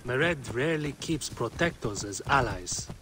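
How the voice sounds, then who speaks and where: A man's voice: mid-range voice, animated, measured pace, noisy background, lightly acted. An actor playing a cynical, world-weary male hired hand in an ancient Egypt video game, blunt and guarded. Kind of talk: video game character acting. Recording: loud music behind the voice.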